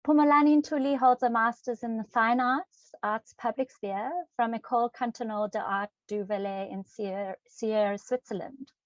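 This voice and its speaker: A woman's voice: a little high, fairly even intonation, normal speed, hardly any pauses, dry recording. Hesitant woman hosting a video call. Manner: hesitant, many ums and self-corrections. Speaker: webinar host. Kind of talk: reading introductions with fillers. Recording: dry, dull lo-fi video call audio.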